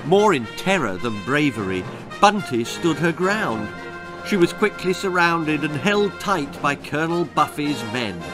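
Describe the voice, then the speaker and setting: A man's voice: fairly high pitch, sing-song, a little slow, rough recording. An older man reading a grisly comic children's story in a theatrical, suspenseful manner. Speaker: author reading his own story. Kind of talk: dramatic children's horror-comedy storytelling. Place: book-lined room indoors. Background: music.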